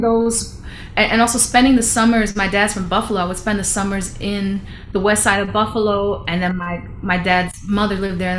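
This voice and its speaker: A woman talks reflectively as an interview guest, often restarting her sentences. Her voice sits mid-pitch. The room sounds echoey with a faint hiss behind her. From Philadelphia, USA. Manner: warm, reflective, many fillers and restarts. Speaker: musician guest. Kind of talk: casual interview talk. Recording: roomy room with faint noise.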